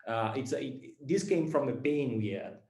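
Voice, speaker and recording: A man from Italy with an Italian accent, a startup founder giving a talk to students, speaking haltingly with many fillers and repeated words. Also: medium pitch, lively intonation, darkish tone, roomy sound.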